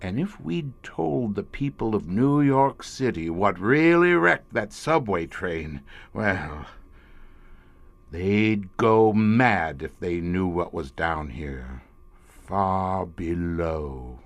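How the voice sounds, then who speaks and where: A low-voiced, middle-aged inspector carries a radio horror play. He talks slowly and broodingly, with big swings of pitch and dramatic pauses, like a learned man who has guarded a terrible secret for years. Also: lively intonation, no room sound, exaggerated voice. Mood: ominous, haunted. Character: grave, haunted police inspector, former professor, guarding secret horror. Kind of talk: audio horror drama in old-time radio manner.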